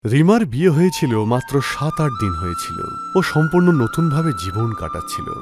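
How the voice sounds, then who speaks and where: A man's voice: fairly high pitch, wildly swooping pitch, a little fast. A man narrating a scripted horror story in a dramatic storytelling style. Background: music.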